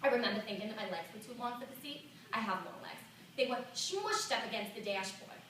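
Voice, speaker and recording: A woman performing a theatrical monologue as a reticent, exasperated seventy-two-year-old woman, halting and colloquial as she reminisces. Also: on the high side, expressive, fairly bright tone. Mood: grudging, wistful. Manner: halting, dramatic, colloquial, self-interrupting. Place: small indoor theatre stage. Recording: echoey theatre, far from the mic, faint noise.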